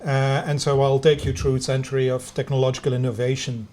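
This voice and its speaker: A middle-aged man from Belgium whose first language is Dutch, giving a lecture as a biomedical engineer, speaking English with a Belgian Dutch accent and many pauses, fillers and restarts. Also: medium pitch, flat delivery, darkish tone, a little hiss or hum. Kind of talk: lecture to students over video conference.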